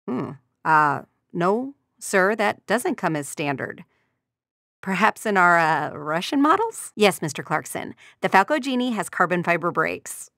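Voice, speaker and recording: Creaky-voiced, polite car dealership receptionist. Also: female voice, on the low side, a bit bright, dry recording, clean studio sound, full character voice. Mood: hesitant, bemused. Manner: courteous customer-service formality with sardonic undertone. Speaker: secretary answering customer calls. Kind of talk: scripted video game voice lines.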